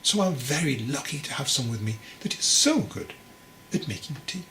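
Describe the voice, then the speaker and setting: A man voicing a wise, patient old monk master, teasing and instructive, in a measured delivery for a storybook. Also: mid-range voice, sing-song, sharp tone. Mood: gently teasing. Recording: clearly noisy recording.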